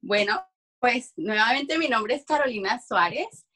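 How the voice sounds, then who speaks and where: A young adult woman from Mexico with a Mexican accent, giving a personal testimony in conversation on a video call, halting as she searches for words. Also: on the high side, animated, sharp tone. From Durango, Mexico. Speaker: interview guest giving a testimonial. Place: small room at home, video call.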